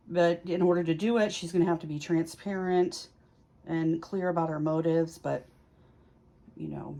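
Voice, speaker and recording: Slow-paced woman host chatting casually, lowish voice. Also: darkish tone. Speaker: tarot reader and video host. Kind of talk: casual explanatory chat to camera.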